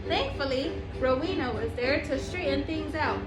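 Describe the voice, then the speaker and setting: A woman reading a picture book aloud to young children, phrasing deliberately with exaggerated emphasis. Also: high-pitched, echoey room, music in the background. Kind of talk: animated children's story read-aloud.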